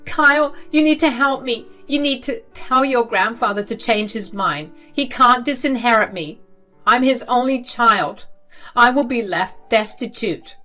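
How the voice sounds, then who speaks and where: Brief: a spoiled, desperate middle-aged mom, high and pleading, put on by a woman narrator. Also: animated, moderate pace, fairly bright tone, faint music behind. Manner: whiny, desperate begging.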